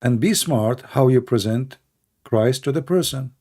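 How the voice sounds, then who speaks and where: A man from the Middle East with an Arabic accent, speaking English as a second language in casual live-stream talk, blunt and emphatic, with halting, repetitive phrasing and many fillers. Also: mid-range voice, dark tone. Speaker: debate show host. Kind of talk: live-stream chat answering viewer questions.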